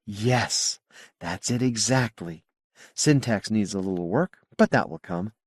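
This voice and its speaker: Man reading as a measured, self-assured woman scientist. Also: on the low side, breathy edge, no room sound, clean studio sound. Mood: pleased, thoughtful.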